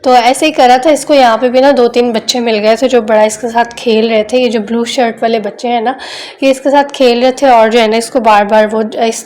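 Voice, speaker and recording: A woman's voice: on the high side, a little mellow. A young woman, chatting casually in a homely vlog voice-over while nursing a sore throat. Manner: chatty, homely, frequent filler phrases. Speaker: vlogger.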